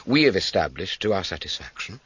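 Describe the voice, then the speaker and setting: A methodical British detective in a radio play, dryly polite and insistent. The voice is low and husky, with formal, measured questions that rise and fall expressively. The sound is a little dull. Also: male voice, sing-song, a bit bright, heavily acted. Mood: firm, formal. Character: methodical, probing, dryly polite male police inspector. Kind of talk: radio drama acting.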